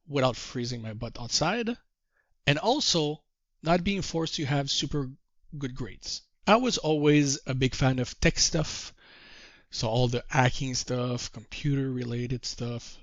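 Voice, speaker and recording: French Canadian man, native French speaker, mid pitch, halting English, storytelling with refrains; dry, slightly dull audio. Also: a bit bright, dry recording. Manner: self-deprecating, halting English. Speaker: trading course presenter. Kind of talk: presentation to a group call.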